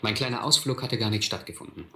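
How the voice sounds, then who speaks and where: An actor as a reflective first-person narrator recalling a boyhood adventure in a German radio play. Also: male voice, on the low side, steady tone, fast talker, bright, forceful tone, roomy sound, slight background noise.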